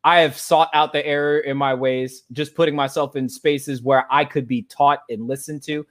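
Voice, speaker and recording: A man's voice: fairly high pitch, moderate pace. An American man hosting a livestream, chatting casually in a slangy, joking way. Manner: slangy, joking, animated when quoting others. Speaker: host of a livestream dialogue show. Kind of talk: casual chat.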